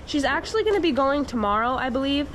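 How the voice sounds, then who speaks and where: A woman's voice: high-pitched, lively intonation, fast, a bit bright. A woman who works on a dairy farm, talking casually to viewers with a stuffy, congested voice from a cold. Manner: chatty, talks straight to viewers. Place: dairy barn, with calves and cows. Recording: noisy barn recording.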